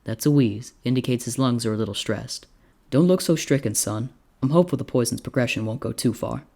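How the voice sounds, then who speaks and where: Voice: mid-range voice, a little fast, gravelly, mellow. A reader voicing a kindly, exasperated frontier doctor in a story, plainspoken and folksy. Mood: reassuring.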